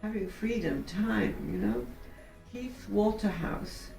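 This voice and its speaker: A woman in her eighties giving slow, thoughtful interview answers, chuckling now and then. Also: medium pitch, animated, warm tone. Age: 80s. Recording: roomy echo, somewhat distant, faint music.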